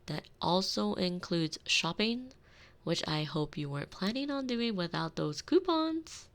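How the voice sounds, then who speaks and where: A woman's voice: lowish voice, lively intonation, measured pace. A woman playing a sardonic, thrifty retired villainess turned doting housewife in an ASMR roleplay, with wry, teasing, theatrical delivery. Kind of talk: scripted ASMR roleplay audio drama. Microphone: close binaural microphone.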